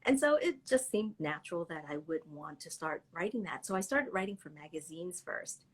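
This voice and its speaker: A middle-aged woman whose first language is Cantonese tells her story with animated energy and frequent laughter. Her voice is slightly husky and swoops through a wide, lively pitch range. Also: normal speed. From Canada. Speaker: children's book author. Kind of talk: casual live interview chat.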